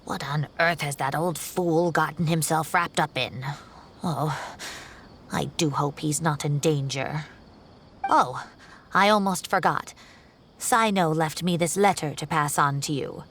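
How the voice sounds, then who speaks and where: A woman voicing a genteel, worried older female professor in a video game, with gently fretful exclamations. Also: sits low, a little slow, a little hiss or hum.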